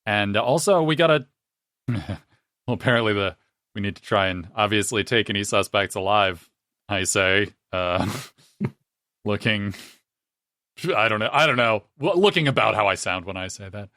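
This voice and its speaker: A man's voice: lowish voice, lively intonation, normal speed, long pauses, pushed and bright, no echo, clean studio sound. A man playing a tabletop role-playing game, talking casually and in character in a slightly rambling way.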